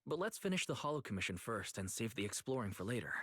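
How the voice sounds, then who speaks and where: An actor voicing a calm, sensible young man for hire in an anime video game. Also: medium pitch, quick pace, no echo, clean studio sound. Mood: reasonable. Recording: game music behind the voice.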